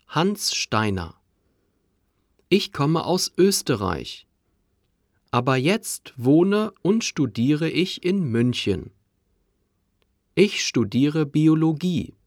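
A man reading numbers and dialogue lines for a language course with clear, deliberate enunciation. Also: a little high, no echo, clean studio sound. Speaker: course audio speaker. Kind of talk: slow textbook reading.

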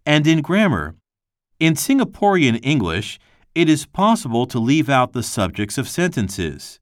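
A man reading a textbook passage aloud for a language lesson, slow and careful, with clear pauses between phrases. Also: mid-range voice, big pitch swings, no room sound, studio quality. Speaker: textbook reader.

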